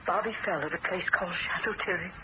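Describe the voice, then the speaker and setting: A woman's voice: lowish voice, fast, close to an everyday voice. A woman playing an anxious, pleading middle-aged mother in a dramatic vintage radio play style. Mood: grave, frightened. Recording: muffled, very noisy old radio broadcast.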